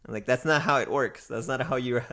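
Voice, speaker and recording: A man's voice: medium pitch, moderate pace, pushed and bright. A man with a Mexican accent co-hosting a podcast in casual chat, self-deprecating and often searching for words. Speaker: podcast co-host.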